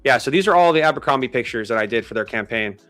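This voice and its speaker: A man's voice: medium pitch, lively intonation, very fast, fairly bright tone, soft background music. A man of thirty-nine chatting casually as a live stream host, with informal, slangy bro-talk. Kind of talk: casual chat.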